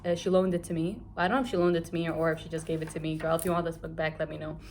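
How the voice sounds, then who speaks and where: A woman's voice: sits low, little pitch movement, racing, a little mellow, a little hiss or hum. A young adult woman from Kuwait giving a chatty, candid book review, talking casually with lots of filler words.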